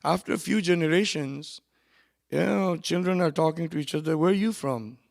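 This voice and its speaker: A man giving a sermon at a memorial gathering, moving between quiet, conversational asides and loud, emphatic lines, with quick runs of recited Arabic verse. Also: fairly high pitch, gravelly. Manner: swings from soft asides to loud emphatic preaching. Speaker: religious speaker at a memorial gathering. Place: hall at a community centre.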